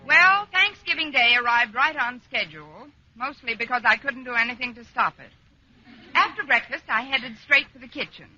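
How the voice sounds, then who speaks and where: An actress playing a wry, exasperated schoolteacher with a dry, sardonic delivery in an old radio comedy. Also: female voice, high voice, animated, normal speed, bright, forceful tone, faint music behind, exaggerated voice. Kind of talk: 1940s-50s radio sitcom acting. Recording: dull, noisy old-time radio recording.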